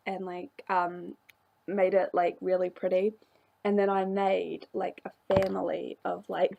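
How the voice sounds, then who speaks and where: A young woman vlogger chatting casually about her journal pages, rambling with many fillers and little laughs, her voice slightly hoarse from a coming cold. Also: mid-range voice, warm tone. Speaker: craft vlogger. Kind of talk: casual chat showing a journal.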